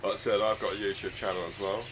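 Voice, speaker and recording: A man with a husky, croaky voice, hoarse from a chest cold, chatting casually and rambling hesitantly while streaming a game from home on a desk microphone. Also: on the low side, flat delivery, fast talker, noisy background. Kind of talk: casual live-stream chat. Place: home room with his dog.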